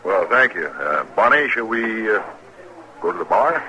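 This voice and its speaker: An actor playing an easygoing, laconic Old West drifter in an old-time radio western. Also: male voice, lowish voice, very animated, moderate pace, sharp tone, heavily acted, rough recording. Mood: courting, hesitant.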